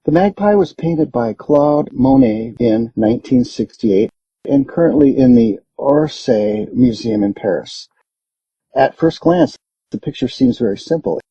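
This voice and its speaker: Very dark, raspy man reading aloud. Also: mid-range voice, sing-song, a little slow, dry recording. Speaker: English lesson reader. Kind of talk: scripted read-aloud narration. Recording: dull, muffled, dry recording.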